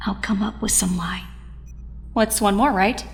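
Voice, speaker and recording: A woman's voice: mid-range voice, steady tone, unhurried, faint music behind, close to an everyday voice. An actress playing a grieving, headstrong teenage girl superhero in an audio drama, earnest and insistent. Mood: firm, caring. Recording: reverberant hall sound.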